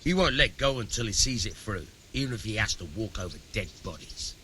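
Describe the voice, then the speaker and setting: Rustic, animated medieval village miller. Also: male voice, fairly low pitch, fairly quick, slight background noise. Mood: wry. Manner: rustic folksy phrasing, plain-spoken. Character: shady, plain-spoken medieval village miller, dry and hard-working. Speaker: game character, miller who teaches thieving. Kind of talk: acted game dialogue.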